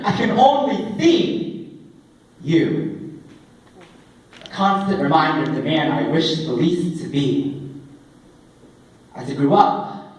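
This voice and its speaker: A young man performing spoken word poetry live, with a dramatic, deliberate delivery and drawn-out phrases. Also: sits high. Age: young adult. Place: indoor open mic coffee house event. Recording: echoey live room, distant microphone.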